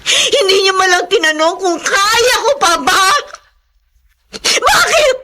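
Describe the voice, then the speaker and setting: An actress with a Filipino accent playing an exhausted, embittered elder sister and breadwinner in a radio melodrama, crying and pleading in anguish. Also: female voice, very high voice, lively intonation, slow, pushed and bright, heavily acted. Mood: furious, tearful.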